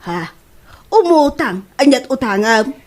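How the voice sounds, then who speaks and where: A woman's voice: high voice, sing-song, slow pace, heavily acted. A woman with an Ilocano accent playing a scolding, incredulous older shopkeeper in a comedy audio drama. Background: faint music.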